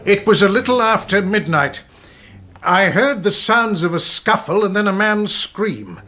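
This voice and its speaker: A man's voice: sits high, very animated, unhurried, bright, forceful tone, heavily acted. A man acting in a radio drama as a hard, self-assured police inspector, firm, formal and clipped.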